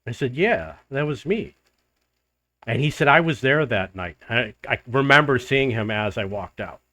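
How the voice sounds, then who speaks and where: Older man, loud voice, mid-pitched, casual rambling storyteller, dead dry sound. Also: animated, normal speed, no room sound. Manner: rambling, restarts and loops back in the story. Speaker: vlogger living abroad.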